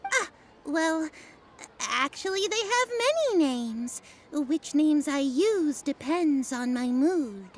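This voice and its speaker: A woman voicing an awkward fortune teller in a video game, halting as she solemnly speaks for the gods. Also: high-pitched, expressive, a little hiss or hum. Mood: flustered. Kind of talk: video game character acting.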